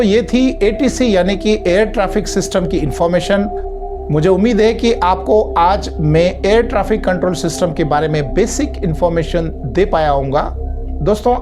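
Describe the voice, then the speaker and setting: A man reading scripted explainer narration, addressing the viewers directly in an instructional way. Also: on the high side. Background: prominent background music.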